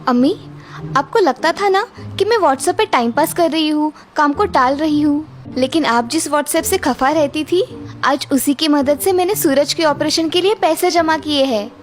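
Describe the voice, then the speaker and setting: A woman's voice: sits high, measured pace. A woman voicing a kind, capable young daughter-in-law in a children's cartoon story, polite and reassuring. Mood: pleased, assured. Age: young adult.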